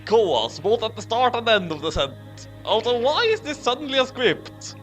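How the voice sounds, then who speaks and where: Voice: medium pitch, very animated, moderate pace, a bit bright, faint music behind. A speaker giving casual, joking commentary during a fan fiction reading.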